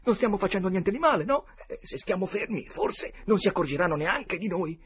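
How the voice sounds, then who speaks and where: A man acts out a young boy from an adventure audiobook, scared yet trying to lead. The voice is husky and breathy, full of urgent whispers and hushes, and it leaps well up in pitch. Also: animated, a little fast, exaggerated voice. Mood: nervous reassurance.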